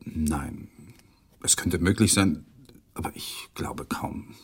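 An actor playing a tense widower who gives short, guarded answers in a 1980s radio drama. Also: male voice, sits low, takes it slowly, slightly rough, in a whisper, exaggerated voice. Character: evasive, tense widower man.

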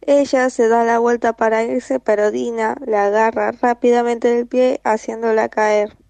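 Teenage female, high flat voice, dry close sound, scripted horror narration. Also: a little slow, creaky, no echo. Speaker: student narrator.

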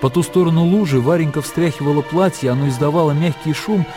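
A man narrating a literary radio drama in a measured storytelling manner. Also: fairly high pitch. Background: music.

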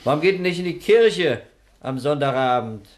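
An actor playing a blunt, commanding police inspector in a 1960s radio crime drama. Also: male voice, on the high side, lively intonation, normal speed, natural voice. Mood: brusque. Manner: brusque, commanding.

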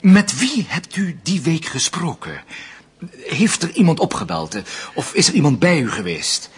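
Investigator, radio drama: very husky voice, lively pitch swings, courteous but persistent questioning. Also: male voice, normal speed, heavily acted. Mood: probing. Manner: insistent, prompting, courteous. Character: probing, patient male police investigator questioning a victim.

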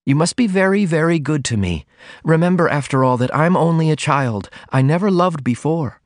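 A man voicing a shy, tender young woman in love, speaking softly and earnestly as in an audiobook. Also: fairly high pitch, lively intonation, normal speed, no echo, studio quality, pitched above the performer's own voice, close to an everyday voice. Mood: anxious, pleading. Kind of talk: audiobook character dialogue.

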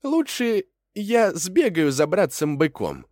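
A man's voice: high voice, very animated, slow, darkish tone, no echo, clean studio sound, voice pushed up, exaggerated voice. A man voicing a small, sly storybook rabbit who talks in a wheedling, mock-polite way. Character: small cunning chirpy male rabbit, sweetly polite trickster. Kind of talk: animated fairy-tale character voice.

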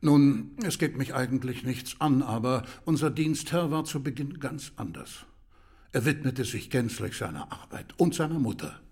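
A loyal discreet servant, husky voice, medium pitch, earnest and deferential, radio drama. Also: male voice, animated, moderate pace, a little mellow. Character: Mister Kirby, dutiful, discreet household manservant.

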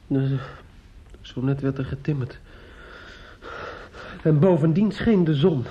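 A young medical student sunk in drink and bitter grief for a radio drama. His voice sits fairly high and sounds husky. He rambles haltingly and repeats himself, on a muffled old recording. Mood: rambling despair. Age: young adult. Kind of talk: Dutch radio play acting.

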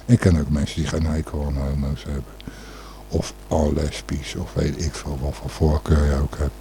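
A man's voice: extremely low pitch, level tone, moderate pace, mellow, slight background noise. A man the hosting a radio show with casual, rambling, blunt and opinionated talk.

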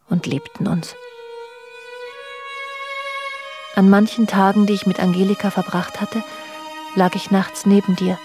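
A low-pitched woman narrates her own secret love story for a German radio play. She is wounded and brooding, telling it in measured literary sentences. Music runs clearly behind her voice. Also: a little mellow, no echo. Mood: reflective. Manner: controlled, literary first-person telling. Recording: radio drama with music underneath.

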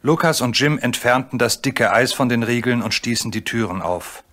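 A man's voice: medium pitch, animated, normal speed, fairly bright tone. A man narrating a scripted children's adventure story as the narrator of a radio play. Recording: faint background noise.

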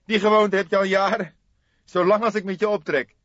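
A man's voice: high-pitched, level tone, a little fast, a bit bright, dry recording, lo-fi sound, voice pushed up. A man voicing an eager, stern young male police detective with a fierce, clipped manner in an audiobook. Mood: reproachful. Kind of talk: audiobook character dialogue.

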